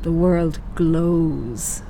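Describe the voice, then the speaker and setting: Slow, wistful middle-aged woman. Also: low-pitched, creaky, warm tone, a little hiss or hum, full character voice. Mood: bitter, wry. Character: wistful, lovelorn middle-aged woman psychologist, haunted by the past. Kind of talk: radio drama acting.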